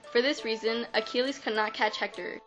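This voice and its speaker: A woman's voice: fairly high pitch, fairly even intonation, brisk, fairly bright tone. A woman narrating a scripted story as a storyteller, on a lo-fi recording. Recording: dull lo-fi sound, music behind.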